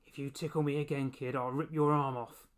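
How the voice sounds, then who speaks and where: A man putting on a croaking, menacing monster baby. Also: mid-range voice, flat delivery, brisk, close to an everyday voice. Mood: threatening.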